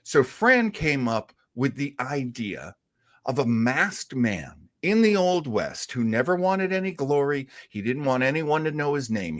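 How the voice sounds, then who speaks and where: A man hosts a casual storytelling show in a very animated voice. He takes his time, leaning on points with deliberate repetition. The sound is close and dry. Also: mid-range voice, dry recording. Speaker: radio show host.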